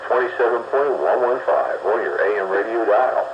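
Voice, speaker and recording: Middle-aged guy hosting a radio chat, low-ish voice, lots of static hiss, dull radio sound. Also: normal speed. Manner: laid-back, rambling, chuckles often. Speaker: radio show host. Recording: muffled radio with heavy static.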